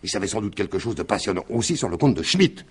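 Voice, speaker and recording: A man's voice: fairly low pitch, big pitch swings, fast, slightly rough. An actor playing a shrewd, insistent detective in a French radio mystery in 1950s style, explaining his theory with emphatic deliberation. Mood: confident, revealing.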